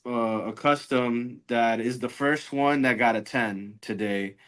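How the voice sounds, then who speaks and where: Male guest, thirties to forties, mid-range voice, halting conversational delivery. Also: mid-range voice, fairly even intonation, a bit bright. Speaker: guest, music media grader. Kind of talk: casual interview chat.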